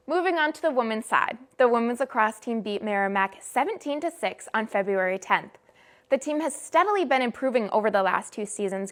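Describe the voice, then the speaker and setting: A young adult woman working as a student sports anchor, reading scripted sports news in a measured, presentational newsreader delivery. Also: medium pitch.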